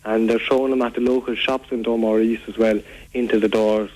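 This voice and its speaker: A man's voice: on the low side, level tone, brisk, darkish tone, lightly acted, poor audio. A man with a County Waterford Irish accent playing an aggrieved, rambling local who earnestly complains as a caller on a radio phone-in. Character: aggrieved, rambling local man fed up with food-throwing youths. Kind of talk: prank call to a local radio show.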